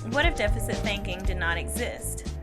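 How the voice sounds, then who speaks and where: A young woman student reading a scripted project introduction in an even, read-aloud manner. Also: mid-range voice, moderate pace, over music. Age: young adult. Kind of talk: scripted narration.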